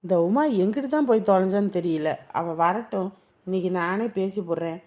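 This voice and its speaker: An actress playing a scheming young sister-in-law who talks bluntly and persuasively. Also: female voice, medium pitch, fairly quick, darkish tone, close to an everyday voice.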